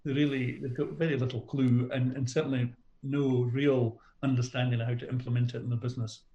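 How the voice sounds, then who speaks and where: A man's voice: medium pitch, flat delivery, brisk, warm tone, muffled recording. Scottish man in an echoey room. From Scotland. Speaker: business coach, podcast guest. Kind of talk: conversational interview answers. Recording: roomy, echoey sound.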